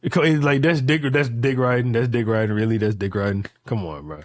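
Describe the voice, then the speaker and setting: A man's voice: medium pitch, a little fast, dry recording. Young man, casual, emphatic and repetitive. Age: late 20s. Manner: emphatic, repeats phrases, profane slang. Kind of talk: casual chat, rambling hypotheticals.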